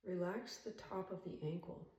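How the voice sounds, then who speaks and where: A woman leading a guided meditation as an instructor, speaking calmly and soothingly in measured phrases with long pauses. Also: fairly low pitch. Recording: roomy echo, distant from mic.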